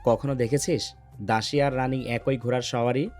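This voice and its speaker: A man's voice: mid-range voice, fairly even intonation, moderate pace, darkish tone, lightly acted. An actor playing a domineering young man in love in an audio love story, intense and commanding, both threatening and tender. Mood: haughty. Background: background music.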